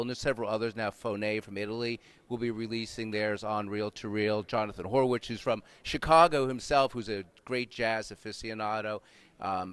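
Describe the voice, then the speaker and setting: A man's voice: on the low side, a bit bright, smooth. A man with an American accent, giving informal, explanatory answers as an expert guest in an interview. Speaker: interview guest, audio magazine writer and panel leader.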